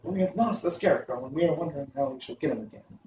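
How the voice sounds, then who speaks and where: A man's voice: a little high, lively intonation, racing, mellow, voice pushed up, close to an everyday voice. A man voicing an earnest, friendly young girl, eager and polite, in a storybook reading. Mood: worried. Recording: roomy, distant, faint noise.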